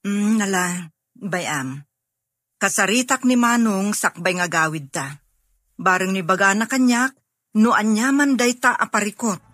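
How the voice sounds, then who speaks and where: A concerned younger sister in an Ilocano radio drama. Her medium-pitched voice is caring and probing, with an Ilocano Filipino accent, recorded close and dry. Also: a little slow, pauses often, fairly bright tone, no room sound.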